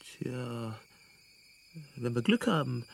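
An actor playing a breezy, evasive galactic travel researcher in a radio drama, casual and placating. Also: male voice, mid-range voice, expressive, takes it slowly, gravelly. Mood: wry. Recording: faint noise, ambient sound bed.

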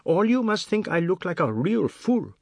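A man's voice: high-pitched, sing-song, normal speed, husky, dry recording, studio quality, voice pushed up, full character voice. A man voicing a blunt, scolding wife. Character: blunt, impatient wife, adult woman.

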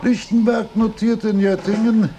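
An older man playing an elderly, opinionated philosopher in a radio drama, speaking in a halting, pedantic and emphatic way. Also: high voice, flat delivery, noisy background, lightly acted. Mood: solemn enthusiasm.

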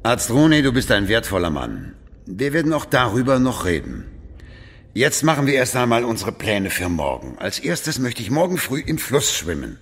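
An actor playing a commanding, pious, aging medieval emperor in a German radio drama, imperious and deliberate, with a husky voice. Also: male voice, medium pitch, very animated. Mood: pleased, scheming. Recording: long reverberant stone-hall echo.

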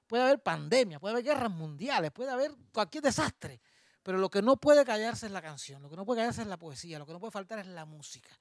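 A man's voice: sits high, animated, brisk, dry recording, clean studio sound. A man with a Cuban accent presenting a concert, moving from a spoken introduction into playful, rhythmic improvised rhyming verse with self-mocking asides. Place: small music bar venue.

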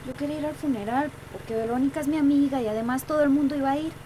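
Frightened, pleading young girl, female actor, Costa Rican accent, very high voice, radio drama. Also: very fast, warm tone, slight background noise, close to an everyday voice. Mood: timid, defensive. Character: frightened young girl resisting her abusive uncle. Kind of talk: Spanish-language radio drama acting.

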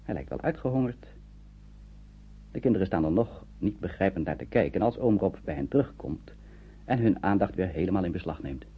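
A man's voice: low voice, animated, a little fast, slightly rough, narrow, tinny audio. A man narrating a radio drama as a storyteller, in scripted, dramatic prose, on an old radio recording. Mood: grave, dramatic. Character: storyteller narrating a tense wartime journey.

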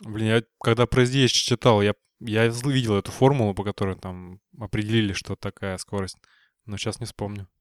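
A man's voice: lowish voice, steady tone, brisk, no echo, studio quality. A man hosting a podcast in a casual, chatty style. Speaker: podcast host.

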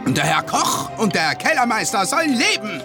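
An actor playing a cheeky, cowardly, food-loving comic birdcatcher in a radio play, blustering and full of exclamations. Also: male voice, high-pitched, big pitch swings, normal speed. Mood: cheeky defiance. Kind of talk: German radio play adaptation of an opera. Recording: music behind the voice.

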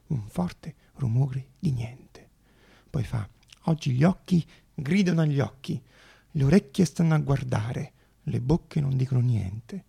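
Male theatre monologist: husky, very creaky voice, dramatic storytelling, deliberate pauses. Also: medium pitch, warm tone. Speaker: stage actor and storyteller.

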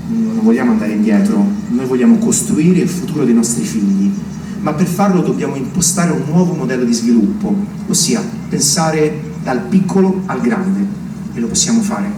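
A man playing an earnest small-town separatist politician in a stage drama, answering an interview in measured, rhetorical phrases. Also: mid-range voice, natural voice. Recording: roomy, distant, clearly noisy stage recording.

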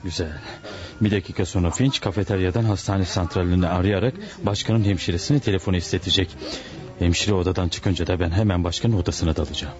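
A man's voice: deep voice, steady tone, fast talker, lightly acted. An actor playing a cunning male hired killer in a Turkish radio play. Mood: scheming.

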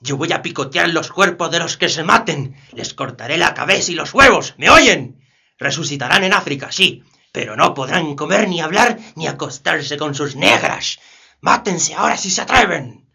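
A menacing slave master in radio theatre. The voice is very sharp and forceful, rough with gravel, and swings widely as he threatens. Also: on the high side, animated, moderate pace, heavily acted. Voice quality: harsh, gravelly rasp. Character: menacing, cruel male slave master threatening his captives. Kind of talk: community radio play acting.

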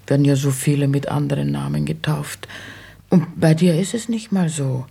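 An old mother in a radio play, money-minded and insistent. Her voice is badly husky, with a gravelly creak. She speaks in plain, halting colloquial phrases with a plaintive pull. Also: low-pitched, fairly even intonation, dark tone, full character voice. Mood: probing, insistent. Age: older. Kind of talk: German radio play acting.

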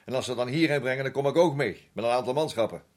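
An actor playing a blunt, world-weary colonial army captain in a radio play, talking in a familiar, musing way. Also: male voice, medium pitch, quick pace, a little mellow, lightly acted. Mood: blunt, wary.

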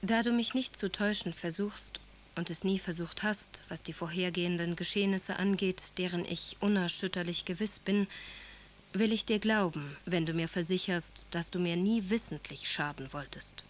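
Actress as a severe, dutiful estranged wife. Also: female voice, lowish voice, normal speed, close to an everyday voice. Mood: stern, formal. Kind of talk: German radio drama, letters and confessions.

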